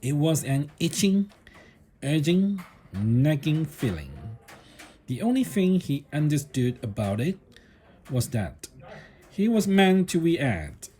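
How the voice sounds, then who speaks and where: A man narrates a children's storybook with a slow, deliberate delivery. His pitch moves up and down in a lively way, while the tone stays mellow and slightly husky. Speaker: storybook reader.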